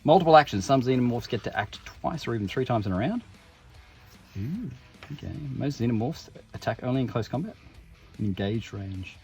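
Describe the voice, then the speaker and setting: A man's voice: mid-range voice, animated, normal speed, a little mellow, soft background music. A man hosting an unboxing video with casual, informal commentary, full of jokey asides and self-interruptions. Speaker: host of tabletop game unboxing videos.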